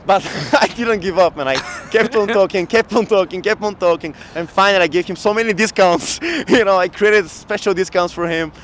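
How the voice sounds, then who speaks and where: A man in his thirties from Bulgaria telling his work story in a casual outdoor interview, animated and laughing often, into a handheld mic. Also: sits high, normal speed, few pauses, a little hiss or hum. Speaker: guest entrepreneur. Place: outdoor city square in winter. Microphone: handheld mic shared, camera close.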